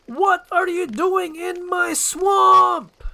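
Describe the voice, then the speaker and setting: A woman's voice: extremely high pitch, fairly even intonation, drawn-out words, gravelly, bright, forceful tone, voice pushed up, exaggerated voice. A woman voicing a grumpy, exasperated male ogre in a dramatized screenplay reading, with a blustering, exclamatory manner. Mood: indignant.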